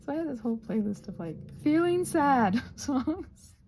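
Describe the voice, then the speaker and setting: Soft, creaky-voiced woman rambling casually. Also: high voice, big pitch swings, moderate pace, slight background noise. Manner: soft-spoken, often dropping to a whisper; musing aloud, self-reassuring. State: short on sleep. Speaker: craft vlogger and ASMR host. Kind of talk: casual rambling craft chat.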